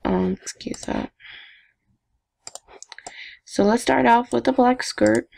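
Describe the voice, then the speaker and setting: Slow, gravelly young woman vlogger. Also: a little mellow. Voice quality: low, gravelly evening voice. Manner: chatty, self-talking, giggly asides. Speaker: gaming vlogger. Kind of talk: casual screen-recording commentary.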